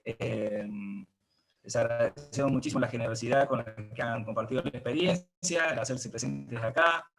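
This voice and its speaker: An Argentine man with a mid-pitched voice moderates an online talk. He speaks informally and haltingly, often pausing to search for his next word. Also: vocal fry, a bit bright, soft background music, poor audio. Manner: hesitant, halting, searching for words. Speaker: host of an online theatre talk. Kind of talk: informal spoken closing remarks and moderation.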